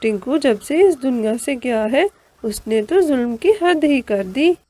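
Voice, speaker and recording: महिला की आवाज़ में ऊँचे सुर की निडर मौसी चिड़िया. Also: female voice, high-pitched, animated, measured pace, dark tone. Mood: indignant. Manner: indignant, motherly, plain-spoken. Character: protective, outspoken older auntie bird. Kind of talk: Hindi cartoon story voice.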